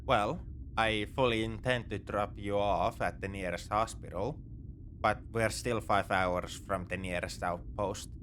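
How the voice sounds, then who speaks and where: Man acting a low-voiced, roguish space pirate. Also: fairly even intonation, a little slow, fairly bright tone, a little hiss or hum. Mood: calm, smug. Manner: casual, wry, coaxing. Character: roguish, morally grey male space pirate, casually reassuring captor. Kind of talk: second-person sci-fi ASMR roleplay acting.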